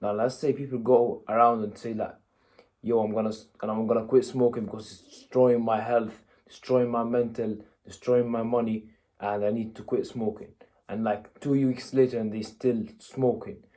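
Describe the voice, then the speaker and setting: A young man from Egypt delivers a casual motivational talk in a warm, dark-toned voice. His pitch sits in an ordinary middle register. He speaks bluntly and conversationally, checking in with "yeah?" and "you know". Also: little pitch movement, normal speed. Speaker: motivational vlogger.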